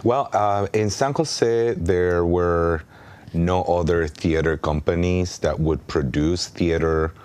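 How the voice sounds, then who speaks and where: A man with an extremely low, deep voice and a Mexican Spanish accent answers interview questions. He is a native Spanish speaker in his thirties. He speaks earnestly, with frequent ums and restarts. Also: slow, a little mellow, clear, resonant tone. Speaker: artistic director. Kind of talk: interview guest.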